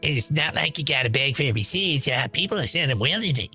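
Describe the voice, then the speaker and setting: A man's voice: fairly high pitch, expressive, quick pace, bright, forceful tone, faint music behind, full character voice. A man voicing a brash, smug, chain-smoking woman in a dramatized monologue, sneering, profane and boastful. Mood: vindictive.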